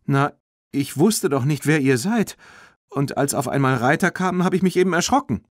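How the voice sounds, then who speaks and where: Man doing a worried young girl, higher voice, earnest n emotional, kids audiobook. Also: moderate pace, dry recording, studio quality, natural voice. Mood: flustered. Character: anxious young girl, worried about her beloved foal.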